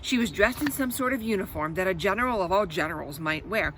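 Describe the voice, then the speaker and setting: A woman's voice: mid-range voice, lively intonation, fast talker, noisy background. A woman reading a children's storybook aloud as host, expressively, with explanatory asides to her young listeners.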